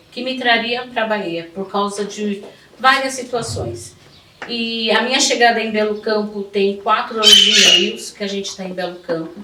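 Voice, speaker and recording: A middle-aged woman gives personal testimony in conversation, devout, colloquial and emphatic. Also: medium pitch. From São Paulo, Brazil. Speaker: interview guest telling her life story. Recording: echoey, distant mic, clearly noisy.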